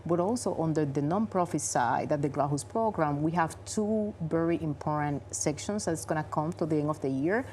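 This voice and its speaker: A woman in her forties with a Dominican Spanish accent and Spanish as her first language, patiently explaining things in English that slips in its grammar now and then. Her voice sits low and sounds mellow and warm. A light background hiss runs under the recording. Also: normal speed, hardly any pauses. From Dominican Republic. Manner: earnest and explanatory, non-native grammar. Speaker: bank vice president, guest. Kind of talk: interview answers.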